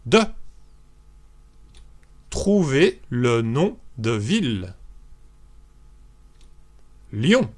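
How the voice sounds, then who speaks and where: A male French teacher from France giving a beginners' lesson. He speaks very slowly and carefully, lays out each model sentence for learners to repeat, and his pitch moves a lot as he goes. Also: sing-song.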